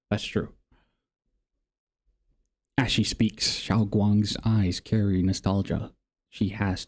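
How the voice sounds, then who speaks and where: Mellow male game streamer, casual commentary. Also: fairly low pitch. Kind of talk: casual live-stream commentary, reading game text aloud.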